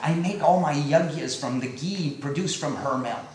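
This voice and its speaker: A storyteller voicing a calm, gentle old male sage who speaks softly and steadily, in a very echoey room. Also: mid-range voice, moderate pace, slight background noise, voice pushed down, close to an everyday voice. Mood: calm, firm.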